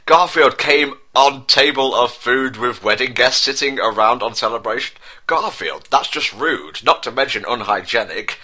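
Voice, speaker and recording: A man's voice: mid-range voice, lively intonation, normal speed, bright, forceful tone. A man reading a comic story in mock-dramatic style, theatrically stressing clumsy wording. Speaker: narrator of comedic dramatic fan-fiction reading.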